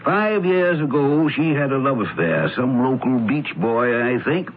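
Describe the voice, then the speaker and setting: An actor playing a guarded, confiding family doctor who speaks deliberately in a radio mystery drama. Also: male voice, mid-range voice, expressive, natural voice. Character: male family doctor, guarded, gossipy professional. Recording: noisy, tinny old radio broadcast.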